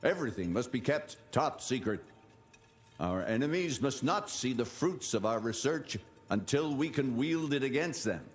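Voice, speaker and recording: An actor playing a formal, authoritative 1880s institute leader, measured and stern, in a video game journal. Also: male voice, medium pitch, expressive, close to an everyday voice. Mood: stern, earnest.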